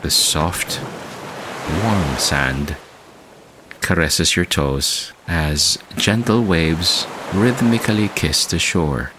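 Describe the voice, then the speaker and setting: A man hosting a guided meditation, speaking in a measured, soothing way with long pauses between phrases. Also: deep voice, noisy background. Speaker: meditation podcast host. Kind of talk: slow guided meditation narration. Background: music bed.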